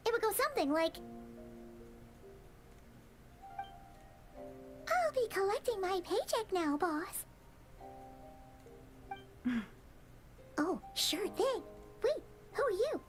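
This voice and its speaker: A tiny, excitable fairy companion chatters in an extremely high voice. The delivery swings widely in pitch, cheeky and dramatic, with game music clearly behind it. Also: female voice, heavily acted. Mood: teasing, curious. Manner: speaks of self in third person, dramatic. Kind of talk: animated game dialogue acting.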